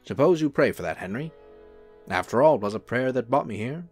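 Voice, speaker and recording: An actor playing a charming, unruffled angel in a radio-style drama, gently teasing as he talks. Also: mid-range voice, animated, brisk, soft background music. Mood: gently chiding. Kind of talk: radio-play style acting.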